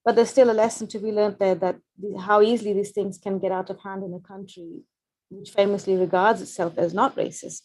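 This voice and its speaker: A woman's voice: mid-range voice, flat delivery, warm tone, rough recording. Earnest woman speaking on a webinar. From Pakistan. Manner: earnest, measured, reflective. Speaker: journalist, panel speaker. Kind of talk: prepared webinar talk.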